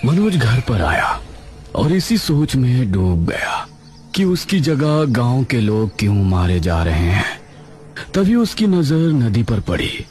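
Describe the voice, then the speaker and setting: A male storyteller reads a dramatic scripted tale. His delivery is slow with a lively, wide-ranging pitch. Music plays clearly underneath. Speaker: story narrator.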